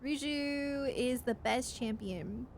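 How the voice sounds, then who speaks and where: A woman's voice: sits high, slow pace, a little hiss or hum. A woman streaming a game, reacting casually to cutscenes in offhand chat. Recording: loud game music behind. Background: video game cutscene music.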